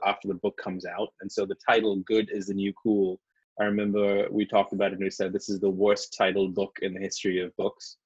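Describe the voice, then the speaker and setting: Low husky man, muffled call. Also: no room sound. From Sri Lanka. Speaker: guest, consultant and author. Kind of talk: casual interview over a video call. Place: home studio.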